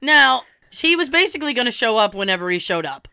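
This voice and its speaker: Young woman, cold-hoarse, bright crisp voice, dry close sound, animated storyteller. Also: a little high, fast, no echo. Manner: animated, swearing, acts out quoted dialogue. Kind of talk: casual storytelling chat.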